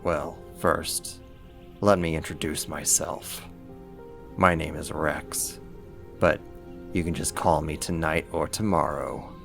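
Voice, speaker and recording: A man acts out a big, boastful male werewolf for a roleplay audio drama. His voice is very low and gravelly, with a smug, flirty, possessive swagger. Faint music plays underneath. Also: fairly even intonation, slow, fairly bright tone, heavily acted. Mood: suave, flirtatious.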